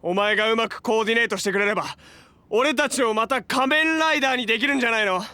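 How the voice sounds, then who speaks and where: A man's voice: extremely high pitch, fast talker, pushed and bright, clean tone. A man playing a brash, hot-blooded young superhero in a Japanese audio drama, with cocky, slangy, exclamatory delivery. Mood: defiant, confident. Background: prominent music.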